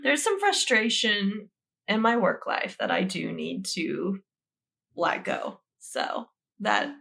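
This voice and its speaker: A woman co-hosting a podcast in casual banter, expressive, joking and candid about herself. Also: mid-range voice, slow, vocal fry. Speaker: podcast co-host having her cards read.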